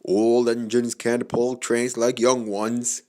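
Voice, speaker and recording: A man voicing an old, gallant male steam engine in a storybook, speaking in loud, earnest exclamations and puffing as he goes. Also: medium pitch, little pitch movement, takes it slowly, voice pushed down, lightly acted. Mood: humble. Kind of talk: storybook character voice.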